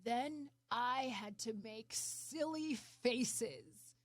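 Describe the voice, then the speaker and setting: A woman voicing a wise, gentle old man in a storybook, speaking slowly and emphatically as an encouraging mentor. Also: fairly high pitch, no echo. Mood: earnest. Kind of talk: storybook character reading.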